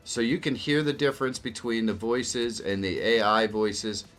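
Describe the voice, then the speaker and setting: A man hosting a casual software walkthrough as a video tutorial. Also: medium pitch, steady tone, normal speed. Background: background music.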